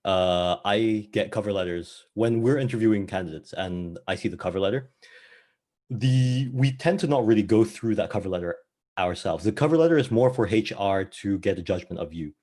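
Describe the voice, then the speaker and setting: A man who grew up in the Middle East speaks in a fairly low voice. He gives relaxed, thoughtful advice from a hiring interviewer's view, pausing now and then. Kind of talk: casual advice talk.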